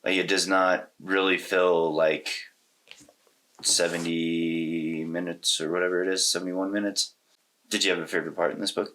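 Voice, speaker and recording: A man's voice: low voice, level tone, normal speed, fairly bright tone, slight background noise. A man co-hosting a casual discussion about films, talking in an informal, slangy way. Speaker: podcast co-host. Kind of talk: casual conversational movie discussion.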